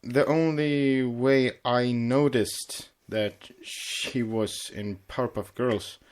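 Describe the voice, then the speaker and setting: Halting man chatting on a podcast. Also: medium pitch, steady tone, clean tone. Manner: halting, rambling, repeats words. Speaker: podcast co-host. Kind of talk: casual fan podcast chat.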